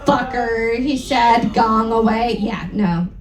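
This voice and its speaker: A woman's voice: on the high side, slow pace, music in the background. A woman giving a comedic dramatic reading of fan fiction to a live audience, theatrical and exaggerated, with wry asides. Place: live event room aboard a cruise ship. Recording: echoey live room.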